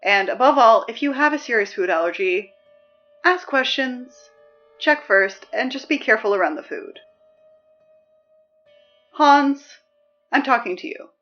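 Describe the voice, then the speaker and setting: A woman playing a weary, sardonic high school morning announcer in a scripted audio drama. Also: highs cut off. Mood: pleading. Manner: sardonic, deadpan, exasperated asides.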